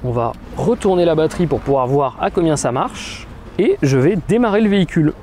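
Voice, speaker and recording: A man in his thirties talks through a product demo outdoors, chatty and explanatory, filling pauses as he goes. His voice sits fairly high but sounds mellow. Faint outdoor noise hangs behind him. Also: animated. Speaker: product demonstrator. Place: outdoor car lot beside a car.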